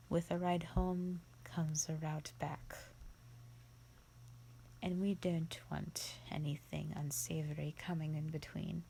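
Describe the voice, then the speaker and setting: A woman voicing a bored, contemptuous female vampire in an audio roleplay, with a breathy, creaky voice and a disdainful, formal drawl. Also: sits low, mellow, a little hiss or hum, exaggerated voice, poor audio. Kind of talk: scripted roleplay monologue for the listener.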